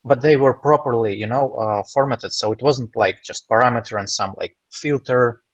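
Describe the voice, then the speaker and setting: A man's voice: mid-range voice, normal speed, no room sound. Hesitant man on a dull dry call line. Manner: hesitant, many fillers, explanatory. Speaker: roundtable participant. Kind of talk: casual online roundtable chat. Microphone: video call.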